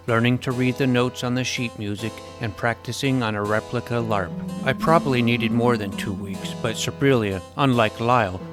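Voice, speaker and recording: A man playing an earnest young hero who tells his own fantasy adventure in measured, literary first-person narration. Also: medium pitch, close to an everyday voice. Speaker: narrator and lead character. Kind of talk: first-person scripted storytelling, audio drama. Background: music under the voice.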